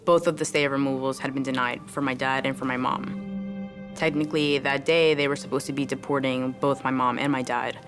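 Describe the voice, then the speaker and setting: A deep-voiced woman in her twenties recounts events in measured, articulate sentences. Music runs clearly beneath her words. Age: 20s. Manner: articulate, steady storytelling. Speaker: daughter interviewed in a documentary. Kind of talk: documentary interview. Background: background music.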